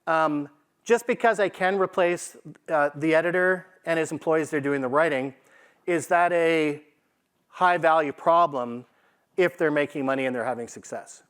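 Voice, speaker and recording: A man's voice: fairly high pitch, normal speed, fairly bright tone, smooth. A man giving an informal business presentation to a live audience in a conversational, emphatic way, often checking in with rhetorical questions. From Canada. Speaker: conference speaker, AI consultant.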